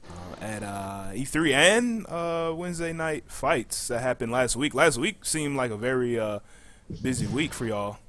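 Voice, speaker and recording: A man hosting a casual gaming community chat, talking in an informal, halting way. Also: mid-range voice, fairly bright tone. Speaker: podcast host. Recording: clearly noisy background.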